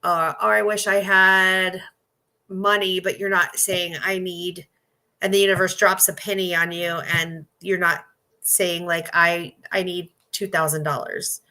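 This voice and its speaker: A woman in her fifties giving a casual spoken card reading, repeating phrases for emphasis and pausing as she goes. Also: lowish voice, little pitch movement, sharp tone, rough recording. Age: 50s. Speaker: tarot card reader.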